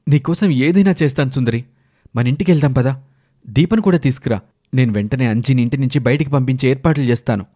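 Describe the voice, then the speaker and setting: A man plays a domineering village husband for an animated moral tale. His voice is mid-pitched and theatrical, in the manner of dramatic story dubbing. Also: natural voice. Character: domineering village husband, scheming then wrathful.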